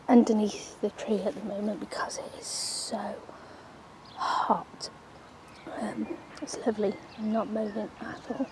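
A woman's voice: mid-range voice, background noise. A woman chatting casually while touring her allotment garden, rambling with frequent ums. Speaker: allotment vlogger. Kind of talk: casual gardening vlog narration. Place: sunny outdoor allotment garden. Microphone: handheld camera, speaker off screen.

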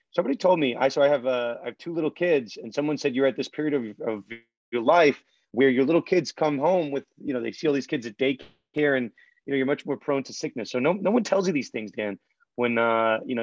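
A man in his thirties speaks in a friendly, easygoing way, guiding the talk with plenty of ums and uhs. His voice sits at a medium pitch. The recording is dry with no room sound and a little dull, like laptop webcam audio from an office. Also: a little fast, no echo. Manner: friendly, steers the talk, filler-heavy. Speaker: podcast co-host, lawyer. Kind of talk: casual legal podcast chat. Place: law office. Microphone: laptop webcam video call. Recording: dry, slightly dull video-call audio.

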